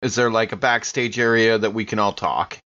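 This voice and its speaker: A man's voice: fairly low pitch, fairly even intonation, brisk, pushed and bright, highs cut off. A man playing in a tabletop roleplaying game, speaking casually in character.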